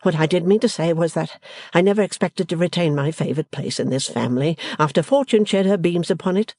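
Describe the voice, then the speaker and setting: A woman's voice: lowish voice, fairly quick, a little mellow, no room sound, studio quality, voice pushed up. A woman voicing a meek, self-pitying young man who complains in a mournful tone. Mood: dismal. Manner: plaintive, mournful.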